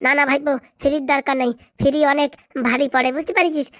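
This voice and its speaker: A woman's voice: sits high, steady tone, fast talker, a bit bright, no room sound, clean studio sound. A woman voicing a doting, simple-minded village uncle in a comedy cartoon, with a fussy, folksy, insistent way of talking. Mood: protective, insistent.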